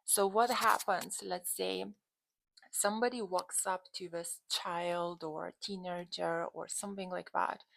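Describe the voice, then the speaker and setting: A woman's voice: on the low side, fairly even intonation, measured pace, creaky, fairly bright tone, slight background noise, poor audio. A woman from Lithuania with a Lithuanian accent, talking casually to camera as a coach in an emphatic, conversational way. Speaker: dating and relationship coach, channel host.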